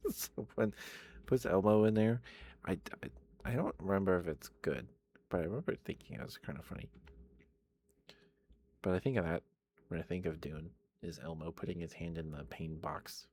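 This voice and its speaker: Man, very low voice, soft ASMR rambling, close mic, stammers and restarts. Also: normal speed, pauses often, a little hiss or hum. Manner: soft-spoken, meandering, with stammered restarts and fillers. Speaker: ASMR streamer. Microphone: close microphone.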